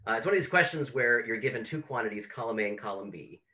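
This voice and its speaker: A man's voice: mid-range voice, lively intonation, quick pace, pushed and bright. A man hosting an online talk, telling an anecdote as an introduction over a video call. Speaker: host introducing a speaker.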